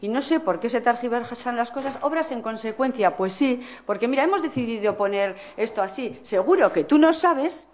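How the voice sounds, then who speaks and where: Council official, woman with a slightly high voice; measured, hesitant delivery while chairing a meeting. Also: lively intonation, a little hiss or hum. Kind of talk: formal meeting remarks.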